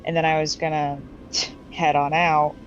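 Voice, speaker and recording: A woman with a slightly low voice plays a tabletop game. She talks casually in character. The audio is a little muffled with faint noise behind it. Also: level tone, unhurried. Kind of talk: casual in-character roleplay chat.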